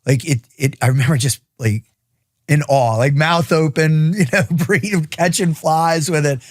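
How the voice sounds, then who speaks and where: A man's voice: a little high, moderate pace, darkish tone. A man hosting an interview podcast, asking earnest, rambling questions. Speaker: podcast host. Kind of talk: casual interview talk.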